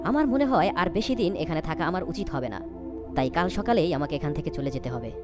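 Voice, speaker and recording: A woman's voice: deep voice, expressive, fairly quick, over loud music. A woman voicing a young, anxious storyteller in a cartoon ghost story, dramatic, wondering and fearful. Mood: uneasy. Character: young, anxious storyteller caught in eerie events. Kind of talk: scripted cartoon ghost-story narration and dialogue.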